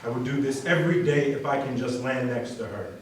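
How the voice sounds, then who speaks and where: A man's voice: medium pitch, fairly quick. A man performing a spoken-word love poem as a reader at a wedding. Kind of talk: dramatic spoken-word recitation, reader's theater. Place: large echoey room at a wedding. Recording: echoey hall, distant mic, faint noise.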